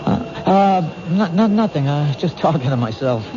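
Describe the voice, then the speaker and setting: An actor playing an earnest, dogged police detective in old-time radio drama style, hesitant and musing but insistent. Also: male voice, on the high side, expressive, normal speed, lightly acted. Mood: guarded, watchful. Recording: dull, noisy old radio recording.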